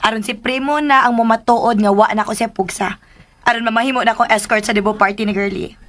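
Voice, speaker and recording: An actress with a Filipino accent playing an eager, coaxing young daughter in a radio drama. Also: female voice, fairly high pitch, fairly even intonation, fast, a bit bright, close to an everyday voice. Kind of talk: Philippine radio drama acting.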